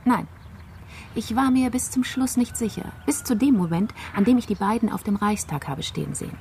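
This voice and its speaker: Creaky-voiced female investigator, measured. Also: fairly high pitch, warm tone, slight background noise. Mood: measured, certain. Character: methodical female investigator explaining how a murder case unfolded. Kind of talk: radio play acting.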